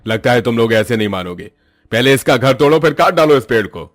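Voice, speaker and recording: A man playing a gruff, impatient government officer in a cartoon moral story, bossy and scolding. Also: medium pitch, lively intonation, fairly quick, dry recording, studio quality, natural voice. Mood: impatient, threatening.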